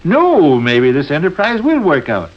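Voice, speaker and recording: Excitable pompous american dad, old radio comedy, voice all over the place, muffled sound. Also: male voice, fairly high pitch, a little slow. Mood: eager, boastful. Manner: pompous, florid wording. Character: excitable, pompous, easily exasperated small-town father. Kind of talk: 1940s radio comedy acting.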